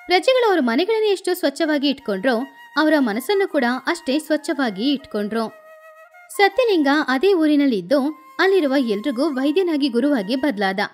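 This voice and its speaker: A woman storyteller reading a scripted moral tale as narration. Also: sits high.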